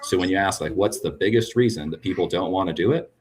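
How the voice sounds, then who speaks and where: A man's voice: low-pitched, fast talker. A man speaking frankly as a podcast guest, often tagging his points with "right?". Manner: frank, tag questions with "right?". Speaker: cannabis business guest. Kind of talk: conversational podcast interview.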